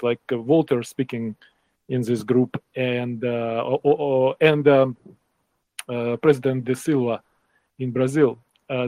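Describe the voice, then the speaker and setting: A middle-aged man with a Ukrainian accent talks through his thoughts in a panel chat, stumbling and restarting as he hunts for words. His voice sits at an ordinary pitch and comes across close and dry, with little room around it. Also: darkish tone, dry recording, low-quality recording. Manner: halting, searching for words, many restarts. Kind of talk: casual group discussion.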